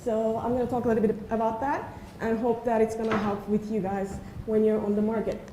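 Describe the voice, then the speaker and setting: High-voiced woman. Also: flat delivery. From Turkey. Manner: earnest and friendly, frequent "uh" pauses. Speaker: invited speaker, assistant professor. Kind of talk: conference talk. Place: lecture room. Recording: echoey room, faint noise.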